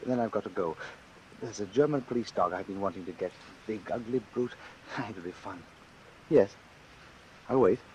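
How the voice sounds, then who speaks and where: Actor as unhinged, casually menacing radio killer. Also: male voice, on the low side, normal speed, pauses often, a little mellow, close to an everyday voice, low-quality recording. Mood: brooding. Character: unhinged male killer, casually gleeful about killing. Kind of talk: vintage suspense radio drama acting. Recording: hissy vintage radio recording, faint noise. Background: faint hiss.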